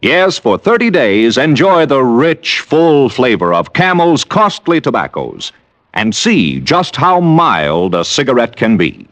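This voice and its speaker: A man announces in golden-age radio style. His pitch swings very widely as he delivers a scripted, emphatic sales pitch. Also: sing-song, measured pace, a bit bright. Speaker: commercial announcer. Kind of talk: scripted 1940s-50s radio advertising.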